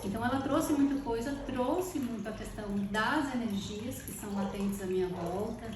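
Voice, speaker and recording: A woman's voice: on the high side, normal speed. A Brazilian woman speaking reflectively and conversationally as an interview guest, searching for words as she goes. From Brazil, born in Salvador, lived in Teresina. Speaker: podcast guest, architect. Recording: very echoey, distant, noisy with running water. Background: water fountain trickling.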